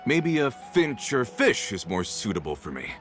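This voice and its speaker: A man's voice: medium pitch, big pitch swings, moderate pace, soft background music, lightly acted. A man playing an earnest, plainspoken middle-aged laborer who yearns for freedom, in a video game. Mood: weary, wistful. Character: weary working man, father yearning for freedom.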